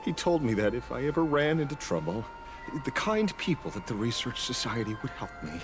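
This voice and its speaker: A man's voice: mid-range voice, big pitch swings, music in the background, close to an everyday voice. An actor playing a hesitant, troubled young man seeking help in a video game, stammering as he speaks. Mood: timid, troubled. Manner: halting, stammering.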